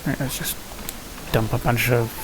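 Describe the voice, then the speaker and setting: A gamer giving casual gameplay commentary, muttering and thinking aloud to themselves in a gravelly voice. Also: mid-range voice, fairly even intonation, moderate pace. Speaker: gamer hosting a gameplay series. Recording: hissy, noisy home recording.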